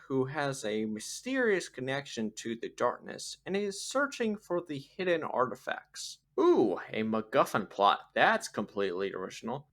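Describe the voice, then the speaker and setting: Film review host. Sarcastic scripted commentary, pitch moving around a lot. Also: fairly bright tone. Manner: sarcastic, rhetorical.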